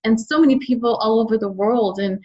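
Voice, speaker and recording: A woman's voice: a little high, fairly quick, dark tone, highs cut off. A middle-aged woman talking enthusiastically and at length as a guest in a conversational interview, an author discussing work. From United States. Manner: enthusiastic, talkative. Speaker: podcast guest, children's book author.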